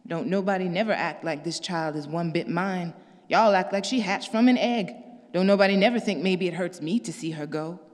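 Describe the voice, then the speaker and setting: A woman doing a low, drawling workman. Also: lightly acted. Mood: indignant. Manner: slow, low drawl with rough dialect. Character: gruff Southern working man, plain-spoken and blunt. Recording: long reverb.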